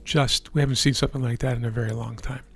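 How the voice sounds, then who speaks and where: A man whose voice is husky and hoarse, as if worn down from talking. He walks through the market in a relaxed, explanatory way, at a middling pitch, with faint music in the background. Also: fast talker. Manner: relaxed, explanatory, checks in with "right?". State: hoarse, losing his voice. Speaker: trading educator. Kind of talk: live market commentary over a chart screen.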